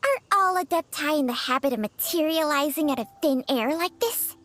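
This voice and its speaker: A woman voicing a haughty, sharp-tongued female snake spirit in an anime-style video game, with a sardonic manner. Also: sits high, animated, brisk.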